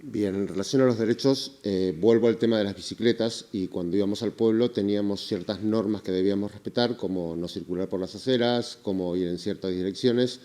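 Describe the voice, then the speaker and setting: Mellow, lowish-voiced man moderating a panel. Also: a little fast, few pauses. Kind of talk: measured spoken panel remarks.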